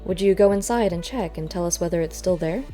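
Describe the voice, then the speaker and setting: A level-headed male animal sleuth, read by a woman with a low, mellow voice. He questions others in a practical, matter-of-fact way, as in an audiobook. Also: quick pace, soft background music, lightly acted. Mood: probing. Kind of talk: audiobook character voice.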